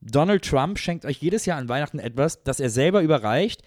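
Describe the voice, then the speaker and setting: A man's voice: a little high, animated, brisk, a little mellow, no echo, clean studio sound. A man co-hosting a casual talk, chatting and reading questions out to the others. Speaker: show host reading listener questions.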